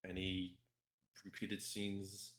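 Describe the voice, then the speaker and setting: A man with a fairly low voice hosts a casual gaming podcast. He rambles, circles back on his words and swears freely. The recording has a clearly roomy echo. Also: completely flat delivery, slow pace, a bit bright. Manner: rambling, repetitive, heavy swearing and filler words. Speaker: podcast host. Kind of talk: casual group podcast chat about games and fandubbing.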